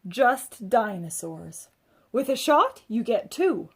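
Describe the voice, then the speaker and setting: A woman's voice: a little high, very animated, slow, husky, heavily acted. A woman voicing a kindly, matter-of-fact nurse who explains things breezily in a children's storybook. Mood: friendly.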